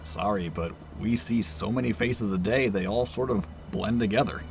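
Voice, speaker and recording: A man's voice: fairly low pitch, moderate pace, gravelly, a little mellow, faint music behind. A man playing a friendly, chatty train attendant in an audio drama, with a casual, teasing manner. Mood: matter-of-fact. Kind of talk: naturalistic audio drama acting.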